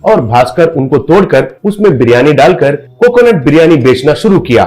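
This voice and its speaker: A man's voice: a little high, normal speed. Man narrating a cartoon story over music. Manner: steady storytelling.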